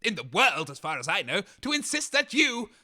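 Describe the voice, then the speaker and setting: A man's voice: sits high, very animated, brisk, pushed and bright, full character voice. A man playing a pompous, scheming and huffy down-at-heel broadcaster in a comedy audio drama, with florid theatrical delivery.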